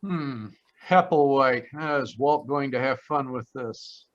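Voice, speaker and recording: An actor playing a flippant, wry ex-cop private detective in a 1940s-style radio play. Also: male voice, medium pitch, slow, lightly acted. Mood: wry, businesslike. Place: remote live performance over video call. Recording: dull, lo-fi video call audio.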